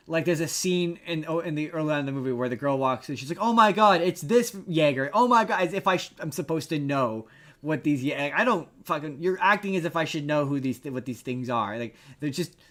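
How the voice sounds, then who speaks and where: A man chatting casually as a podcast co-host, explaining things in an informal, slangy way with halting repeats. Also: fairly high pitch, a bit bright. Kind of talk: casual movie review chat.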